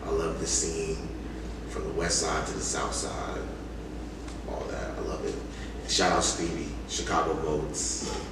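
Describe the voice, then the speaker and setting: Deep voiced guy chatting casually in slang, bit far from the mic, noisy echoey room. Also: little pitch movement, a little slow, creaky. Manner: informal, slangy. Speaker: interview guest, music artist. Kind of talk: casual chat. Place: reverberant indoor room.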